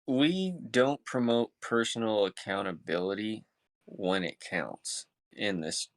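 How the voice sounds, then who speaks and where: A man with a low, smooth voice hosts a casual podcast, recorded close and dry. His phrasing is laid-back and slangy, with the odd swear word. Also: takes it slowly, no room sound. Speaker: podcast host. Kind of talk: casual chat.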